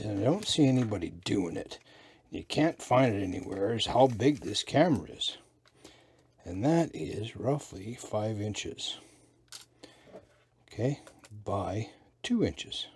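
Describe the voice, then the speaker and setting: A man in his fifties with a rough, somewhat gravelly voice, chatting loosely as he tests a new camcorder in his bedroom. He fills pauses with ums and uhs and drifts from close to the camera to several feet back from its mic. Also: fairly low pitch, a little mellow. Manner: rambling, talks while fiddling with gear, many fillers. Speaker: product reviewer. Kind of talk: casual hands-on product review. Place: small bedroom at home, indoors. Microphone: camcorder's own shotgun mic, from a few feet to eight feet away.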